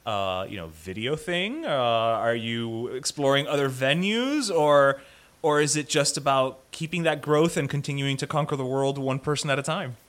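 A man hosting a podcast interview, asking friendly, halting questions. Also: medium pitch, lively intonation, fairly bright tone. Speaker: podcast host. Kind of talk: casual interview.

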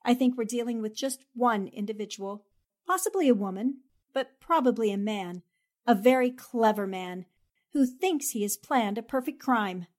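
A woman's voice: fairly high pitch, animated, normal speed, darkish tone, close to an everyday voice. A woman playing a plucky, wisecracking young newspaperwoman sleuth in a 1940s-style radio drama, with a flippant, arch way of talking. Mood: confident. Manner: talks to herself, flippant, arch.

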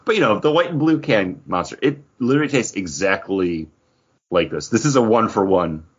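Husky, lively man chatting on a podcast. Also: on the low side, normal speed. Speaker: podcast co-host. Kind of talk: casual chat, banter. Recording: dull lo-fi recording.

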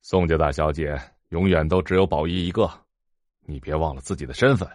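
A man's voice: a bass voice, a little fast, darkish tone, no echo, clean studio sound. An actor playing a stern, commanding middle-aged father of a wealthy family, cold and severe, in an audio drama. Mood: stern, threatening.

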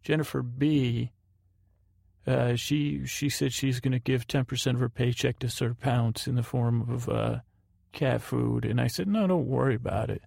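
Rambling, gravelly male podcast host. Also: mid-range voice, little pitch movement, darkish tone, dry recording, studio quality. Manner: rambling, halting, often correcting himself. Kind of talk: rambling housekeeping monologue for a sleep podcast.

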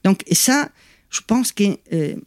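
A middle-aged woman from Italy, speaking French with an Italian accent as her second language, explaining things in a lively interview with many asides and restarts. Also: medium pitch, slow pace, dry recording, studio quality. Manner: animated and explanatory, frequent "tu vois" asides and restarts. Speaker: guest, lithotherapist.

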